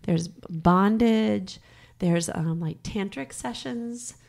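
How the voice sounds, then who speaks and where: A middle-aged woman co-hosting a podcast in casual chat, with a raspy, slightly hoarse voice, frank and quick to laugh. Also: mid-range voice, darkish tone. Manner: chatty, frank, laughs easily. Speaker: podcast co-host.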